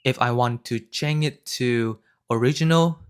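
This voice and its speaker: A man hosting a software tutorial, explaining each step in an unscripted, halting way. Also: medium pitch, smooth. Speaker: tutorial host.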